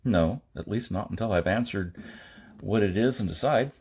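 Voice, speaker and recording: A man's voice: lowish voice, moderate pace, a little mellow, slightly rough. A man voicing a stubborn, questioning space traveler in an audiobook, with a blunt, insistent manner. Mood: defiant.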